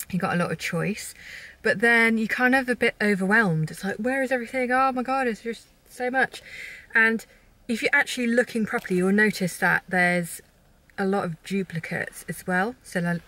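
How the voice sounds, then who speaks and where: A woman's voice: mid-range voice, sharp tone. A woman, chatting candidly to camera from a parked car in a rambling vlog style. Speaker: shopping vlogger. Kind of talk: casual vlog talk to camera. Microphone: camera held at arm's length.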